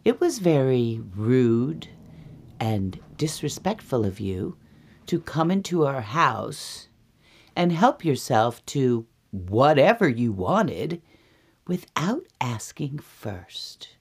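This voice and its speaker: A woman's voice: very deep voice, very animated, a little mellow, husky, heavily acted. Woman as a prim, scolding mother bear. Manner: deliberate, reproving.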